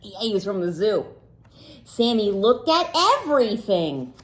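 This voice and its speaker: A woman teacher reading a picture book aloud to young children, pausing to ask them questions in a playful, sing-song way. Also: medium pitch, big pitch swings, rough recording. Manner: slow and deliberate, pausing for answers.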